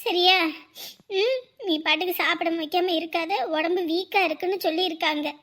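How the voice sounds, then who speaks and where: A woman voicing a kind middle-aged neighbor who gently comforts a sick girl, in a one-person family skit. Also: extremely high pitch, a little fast. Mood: soothing. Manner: gentle, soothing, reassuring.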